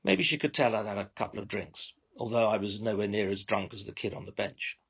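Male author-narrator, British, husky mid-range voice, wry measured literary reading, slightly muffled sound. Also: fairly low pitch, fairly bright tone. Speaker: author reading own short story. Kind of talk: scripted first-person literary narration.

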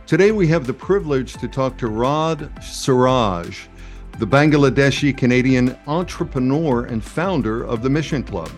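An older man hosting a podcast, reading a scripted intro and then chatting loosely with a guest, with some hesitations. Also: mid-range voice. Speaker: podcast host.

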